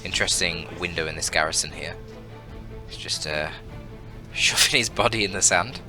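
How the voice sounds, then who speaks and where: A man's voice: low-pitched, moderate pace, over music. A man with a bunged-up voice giving casual, sweary, rambling commentary on a video game match with joking asides. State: congested, bunged-up voice.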